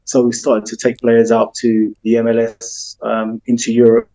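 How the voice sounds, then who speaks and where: South London man, football agent, very flat monotone delivery, mellow dark tone, ums and you-knows, video call. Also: mid-range voice, moderate pace, poor audio. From England. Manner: relaxed, rambling, many "um" and "you know". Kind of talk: casual interview answers.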